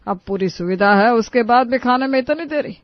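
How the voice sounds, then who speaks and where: Man doing a high-pitched grumbling old father-in-law. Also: expressive, brisk, no echo. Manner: grumbling, demanding. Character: old-fashioned, taunting elderly father-in-law. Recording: dull lo-fi audio.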